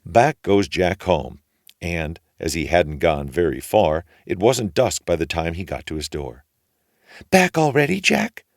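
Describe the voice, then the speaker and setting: A man narrating a fairy tale for children in an expressive, measured storytelling manner. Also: sits low, dry recording, clean studio sound.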